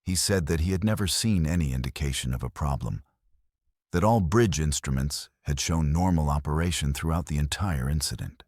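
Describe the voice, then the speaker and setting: A man reading a scripted first-person horror story in a measured, grave, deliberate way. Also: very deep voice, a little mellow, no room sound, clean studio sound.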